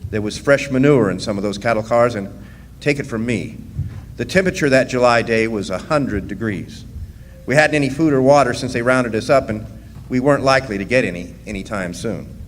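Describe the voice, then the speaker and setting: A man's voice: medium pitch, a little fast, lightly acted. A man playing a plainspoken striking miner who narrates his own story in a radio drama. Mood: wry, matter-of-fact. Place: live stage performance in a hall. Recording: long hall reverb, faint noise.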